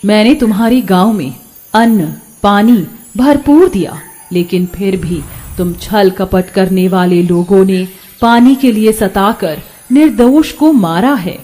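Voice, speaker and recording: A woman voicing a stern, solemn river goddess in an animated story. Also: mid-range voice. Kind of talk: Hindi animated story dialogue.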